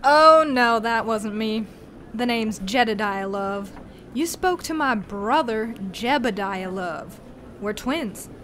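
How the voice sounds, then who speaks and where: Woman acting as genial male cowboy, high-pitched, long-winded, folksy storytelling, western audio drama, clearly noisy backdrop. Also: animated, slow, fairly bright tone. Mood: friendly, folksy. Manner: rambling, folksy, theatrical storytelling.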